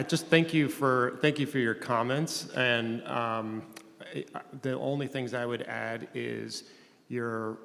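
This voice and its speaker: A man speaking on a conference panel, talking in halting phrases with many restarts. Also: mid-range voice, creaky, fairly bright tone. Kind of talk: panel discussion. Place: conference room. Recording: echoey hall, faint noise.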